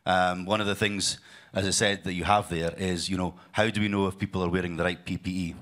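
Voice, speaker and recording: Guy presenting at a conference, very deep voice, lots of ums and restarts. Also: flat delivery, a little fast, a bit bright. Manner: loose, repetitive, with many fillers and restarts. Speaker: conference speaker, sales leader.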